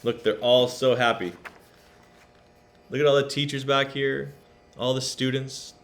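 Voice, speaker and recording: A man reading a picture book aloud to children in an expressive, emphatic way, adding explanations for the listeners. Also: medium pitch, unhurried. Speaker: read-aloud storyteller.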